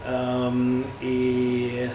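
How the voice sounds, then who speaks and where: A man's voice: mid-range voice, completely flat delivery, very slow. A German man speaking Portuguese as a guest, hesitant, with many fillers and restarts. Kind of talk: casual interview chat. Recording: echoey room, distant mic, noisy.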